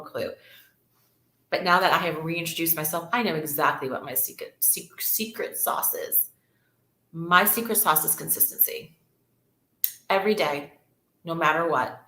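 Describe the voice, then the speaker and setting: Chatty woman, bright echoey voice. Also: deep voice. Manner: chatty, upbeat, repeats words for emphasis. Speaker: real estate agent, livestream host. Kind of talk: casual livestream talk to viewers.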